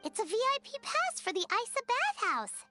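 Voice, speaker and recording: Very high, bright, chirpy little fairy sidekick for a game, excitable and childlike. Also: female voice, moderate pace, soft background music, heavily acted. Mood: excited. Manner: chirpy, refers to self in third person. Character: tiny excitable floating fairy companion, childlike, food-loving. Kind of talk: video game voice acting.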